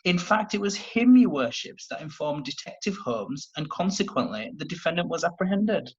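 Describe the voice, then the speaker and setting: Man as a husky, pompous courtroom lawyer. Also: a little high, big pitch swings, moderate pace, muffled recording. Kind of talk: comic courtroom play acting.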